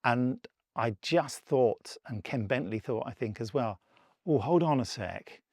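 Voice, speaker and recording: A man's voice: medium pitch, lively intonation, measured pace, darkish tone, breathy edge, no room sound, studio quality. A man chatting enthusiastically in an interview as someone who makes audio dramas. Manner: enthusiastic, acts out imagined quotes. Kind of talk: interview chat.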